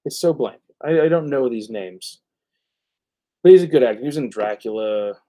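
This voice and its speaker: A man hosting a live stream, chatting casually and rambling, often halting as he searches for words. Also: medium pitch, animated, warm tone. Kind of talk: casual rambling movie chat.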